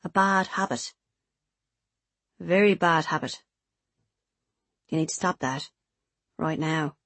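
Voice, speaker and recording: Woman playing a stern, low, knowing woman. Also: slow, a bit bright, dry recording, full character voice. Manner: blunt, insistent. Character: stern, knowing, watchful woman giving a warning.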